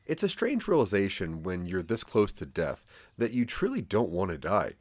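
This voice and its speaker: A man's voice: deep voice, wildly swooping pitch, moderate pace, no room sound. A man narrating a scripted audio drama in the second person, with a dramatic storytelling manner.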